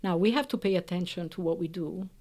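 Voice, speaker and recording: A woman whose first language is Italian, a researcher explaining the benefits of bilingualism in an interview, speaking carefully with frequent pauses and fillers. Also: fairly low pitch, a little mellow. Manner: measured, explanatory, hesitant fillers.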